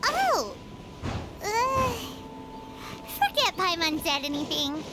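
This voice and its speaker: An excitable little fairy girl for a video game. Her squeaky, childlike voice is extremely high and swoops around in a perky, exclamatory way, with prominent music playing behind it. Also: slow, exaggerated voice. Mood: curious, flustered. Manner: perky, exclamatory, refers to self by name. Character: small excitable childlike female fairy companion.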